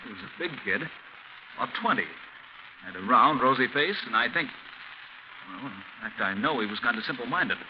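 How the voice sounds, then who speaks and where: An actor playing an earnest, bewildered traveler, halting and stammering in 1940s radio style. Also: male voice, mid-range voice, animated, natural voice. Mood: earnest, hesitant. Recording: noisy, muffled 1940s radio recording.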